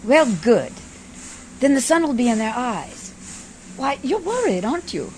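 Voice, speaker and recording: Prim, high-voiced stern lady, acted by a woman, noisy backdrop. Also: very animated, unhurried, darkish tone, exaggerated voice. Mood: brisk determination. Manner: formal, reproving. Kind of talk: radio play acting.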